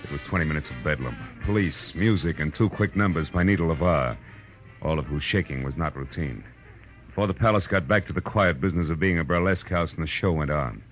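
An actor playing a wry, world-weary private detective in 1940s radio noir style, with a dry, hard-boiled manner. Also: male voice, rumbling low, a little fast. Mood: wry, brisk. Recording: muffled old radio recording, faint music.

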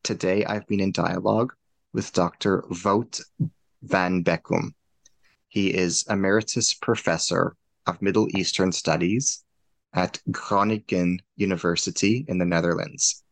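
A man's voice: sits low, fairly even intonation, measured pace, gravelly, dry recording. A man hosting a podcast interview, earnest and effusive with his thanks. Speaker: podcast host.

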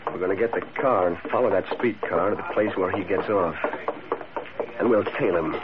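Deep-voiced menacing old-radio gangster played by an actor. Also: male voice, normal speed, heavy background noise, close to an everyday voice. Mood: stern, suspicious. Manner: stern, suspicious, commanding. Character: hard-boiled, menacing male crook, gang boss. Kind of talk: 1940s radio acting. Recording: tinny, narrow old radio recording, crowd behind.

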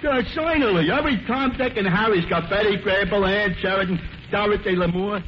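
High-pitched dopey barfly played by a man. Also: natural voice. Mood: earnest, dopey. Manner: halting, goofy, begins with "uh". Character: dim-witted, earnest male barfly, slow on the uptake. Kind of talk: 1940s radio comedy acting. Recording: muffled, very noisy old radio.

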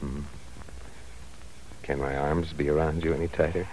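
A man's voice: a bass voice, fairly even intonation, normal speed, darkish tone, poor audio. A man playing an ambitious young husband in an old radio drama, speaking tenderly and coaxingly to his wife. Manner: tender, coaxing, drops to a whisper. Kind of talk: 1940s radio acting. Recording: faint hiss of an old radio recording.

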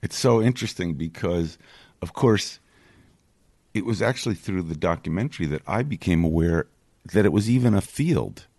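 A man's voice: low-pitched, expressive, normal speed, dark tone, no echo. A man co-hosting a podcast in a casual, articulate and appreciative conversational way. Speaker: podcast co-host. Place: production studio.

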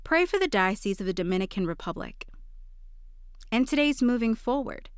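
A woman's voice: on the high side, sing-song, moderate pace, clean tone, no echo, clean studio sound. A woman reading a scripted daily devotional for a podcast.

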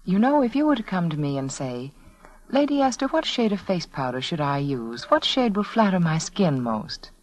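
A woman's voice: fairly low pitch, sing-song, moderate pace, a little mellow, low-quality recording. An American woman reading a scripted 1940s radio advertisement, addressing the listener directly and confidingly. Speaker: sponsor spokeswoman. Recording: vintage 1940s radio broadcast.

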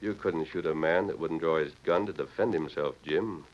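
An actor playing a steady, self-assured American hero in an old-time radio Western, speaking in a measured way. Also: male voice, very deep voice. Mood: firm, persuasive. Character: calm, steady Western hero posing as Easterner. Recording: dull lo-fi vintage radio recording.

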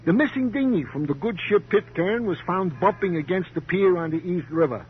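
Male police sidekick: aggrieved, officious, formal and precise, higher-pitched, on a muffled vintage radio crime show. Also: animated, brisk. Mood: officious, pompous. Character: fussy, self-pitying male police sidekick with pompous diction. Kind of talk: 1950s radio crime drama acting. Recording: muffled, hissy old radio broadcast.

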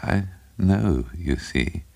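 A man voicing a small, conceited, furtive madman in a story, with a low breathless mutter, a bubbling impediment and a halting, stammering delivery. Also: sing-song, vocal fry, a little mellow, slightly rough, no echo, heavily acted. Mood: conceited, guarded.

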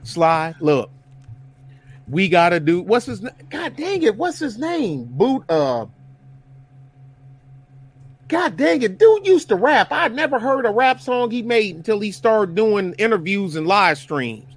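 High-pitched rambling man in his fifties. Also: big pitch swings, unhurried. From Detroit, USA. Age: 50s. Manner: rambling, searching for words, many restarts. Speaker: podcast co-host. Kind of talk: casual group banter.

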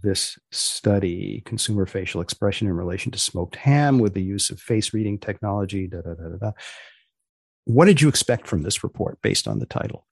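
Male podcast host, slightly low and gravelly, deadpan and deliberate, dry studio sound. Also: no room sound. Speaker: podcast host and magazine editor. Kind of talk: podcast hosting, scripted intro and interviewing.

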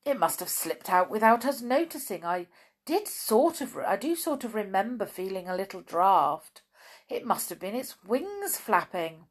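A woman voicing an excitable, reassuring know-it-all playmate in a children's storybook, eager yet matter-of-fact. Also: mid-range voice, moderate pace. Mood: puzzled.

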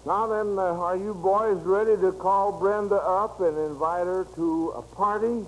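High-pitched folksy American radio host, male. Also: steady tone, measured pace. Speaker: host of a children's radio show. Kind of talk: unscripted old-time radio hosting. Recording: faintly noisy vintage radio recording.

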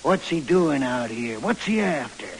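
A man's voice: on the high side, expressive, measured pace, low-quality recording. An actor playing a blunt, nosy frontier man with a rural American accent in an old radio western. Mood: probing. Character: nosy, blunt frontier trading-post local. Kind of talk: old-time radio drama acting. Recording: faintly hissy old radio recording.

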